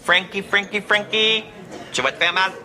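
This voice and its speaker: A man's voice: high-pitched, normal speed, close to an everyday voice. An actor with a Québécois accent playing a vain, rich man who boasts about his pull with women. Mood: smug. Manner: boastful, self-satisfied. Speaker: sitcom character, rich friend. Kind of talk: sitcom acting. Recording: TV sitcom audio with noise.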